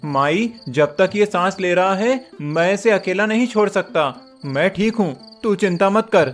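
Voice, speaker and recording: A man voicing a poor young village goatherd boy who is gentle and devoted, speaking softly and reassuringly. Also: sits high, expressive, measured pace, soft background music. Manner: soft, reassuring, stammers when scared.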